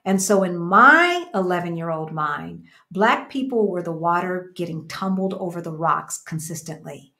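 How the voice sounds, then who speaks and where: A woman's voice: on the low side, expressive, moderate pace, few pauses, bright, forceful tone. An American woman in her fifties co-hosting a casual livestream from a room at home, talking emphatically and repeating phrases for emphasis. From United States. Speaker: co-host of a livestream. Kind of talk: casual livestream chat, reading out viewer comments. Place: small indoor room at home.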